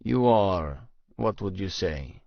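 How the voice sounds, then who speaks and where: A man's voice: low voice, expressive, takes it slowly, creaky, no room sound, lo-fi sound, exaggerated voice. A man playing a stern, formal Russian man in a romance audiobook, speaking curtly. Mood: stern, restrained. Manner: formal, curt.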